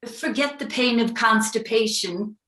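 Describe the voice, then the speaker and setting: A woman's voice: a little high, unhurried, sharp tone. A woman acting a wry character coping alone, in a staged reading of a play, in a roomy distant recording.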